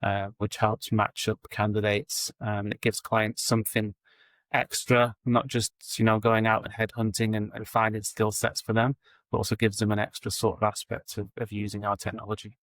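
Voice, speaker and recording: Male guest, low and flat delivery, casual and steady. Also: normal speed, no echo. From England. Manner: relaxed, steady, frequent ums. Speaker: guest, recruitment founder. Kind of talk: casual interview answers.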